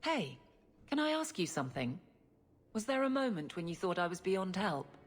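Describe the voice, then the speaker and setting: An actress playing a blunt, earnest woman guard captain who gets flustered and halting, in cinematic video game dialogue. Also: fairly low pitch, sing-song, faint music behind. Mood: warm, grateful.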